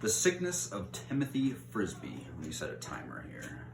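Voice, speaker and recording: Roomy, distant low-voiced man reading aloud. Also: huge pitch swings, normal speed, vocal fry. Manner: informal, corrects himself and rereads stumbled phrases. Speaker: read-aloud host. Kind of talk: reading a children's novel aloud with casual asides. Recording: roomy echo, distant mic, faint noise.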